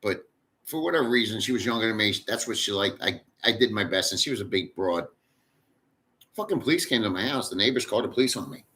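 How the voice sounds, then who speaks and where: A man's voice: lowish voice, animated, quick pace, pushed and bright. A man hosting a casual livestream, reading chat aloud and rambling in a crude, profane way.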